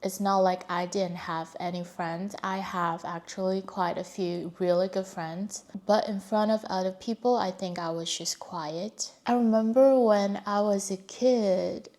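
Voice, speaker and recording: A woman's voice: medium pitch, steady tone, a little slow, few pauses, darkish tone. A young adult woman from China, a native Mandarin speaker with a Chinese accent, telling her life story in a reflective, candid way. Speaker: vlogger. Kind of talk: personal storytelling.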